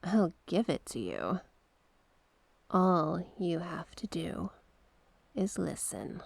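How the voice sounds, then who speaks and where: A woman voicing a seductive, manipulative sea witch in an ASMR roleplay, soothing and possessive, coaxing and teasing with breathy whispers. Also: fairly low pitch, big pitch swings, slow pace, no echo, heavily acted. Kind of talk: ASMR roleplay, slow hypnotic monologue.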